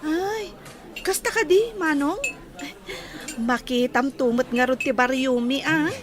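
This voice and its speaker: A woman's voice: high-pitched, takes it slowly, crowd noise. A woman acting in a radio drama as a polite, forthcoming young village woman. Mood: friendly.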